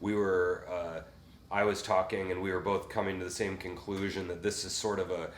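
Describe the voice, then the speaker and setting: Male host, low voice, rambling chatty delivery, roomy recording. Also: flat delivery, moderate pace, slight background noise. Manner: rambling, frequent fillers and restarts. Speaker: podcast host and fiddle player. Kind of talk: casual interview chat. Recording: roomy with faint ambience.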